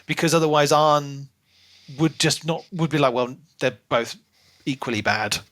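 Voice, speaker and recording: Bright-voiced man chatting casually. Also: on the high side, moderate pace. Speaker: podcast co-host. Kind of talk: casual book discussion.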